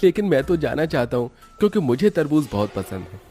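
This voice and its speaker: A man puts on a cheerful young man for a horror cartoon. The voice sits well above a low narrating register, eager and animated, with faint music underneath. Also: a little fast. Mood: eager, excited. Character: cheerful eager young male diner out with companion. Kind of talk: Hindi horror cartoon dialogue.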